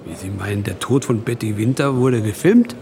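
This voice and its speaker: A suave film producer in a radio play, calculating and evasive under questioning. Steady background noise runs under his voice. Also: lowish voice, normal speed.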